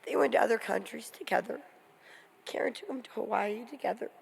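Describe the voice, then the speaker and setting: A middle-aged woman speaks at a memorial service in a fairly high, gravelly voice with a husky edge. Her delivery is halting and shaky, as if holding back tears. She speaks into a microphone. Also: low-quality recording. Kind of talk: memorial remembrance speech.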